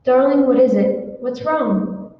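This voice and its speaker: An actress playing a concerned, gentle wife who reassures her husband in an old-time radio drama. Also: high-pitched, takes it slowly. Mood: concerned, soothing. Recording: reverberant hall, muffled, distant, noisy.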